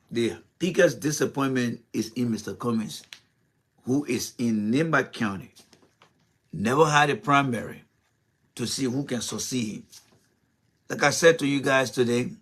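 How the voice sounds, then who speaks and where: Impassioned man hosting a show. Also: medium pitch. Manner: impassioned, rhetorical questions, repeats himself. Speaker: host. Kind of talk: political commentary monologue.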